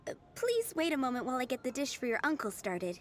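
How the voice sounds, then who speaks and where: A woman's voice: high-pitched, wildly swooping pitch, fast talker, slight background noise. An actress voicing a friendly, playful young female waitress with a polite service manner, in video game dialogue.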